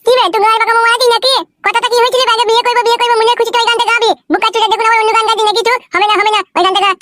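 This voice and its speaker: An insolent little village kid from a comic cartoon squabbles in an extremely high voice. The words tumble out at breakneck speed, crude and cheeky. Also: level tone, rapid-fire, pushed and bright, no echo, studio quality. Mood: bickering, insolent. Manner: bickering, insolent.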